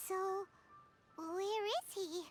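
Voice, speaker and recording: A woman voicing a tiny, childlike, excitable fairy companion in a video game, squeaky and inquisitive. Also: drawn-out words, soft background music, exaggerated voice. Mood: curious.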